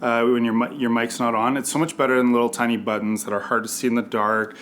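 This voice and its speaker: A man's voice: mid-range voice, little pitch movement, fast talker, a bit bright, a little hiss or hum. A man from a film crew giving a casual, unscripted interview testimonial.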